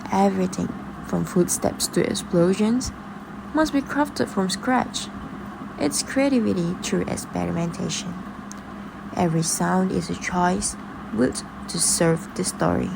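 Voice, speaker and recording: A woman's voice: medium pitch, creaky, noisy background. A woman presenting a scripted explainer narration in the manner of a video essay.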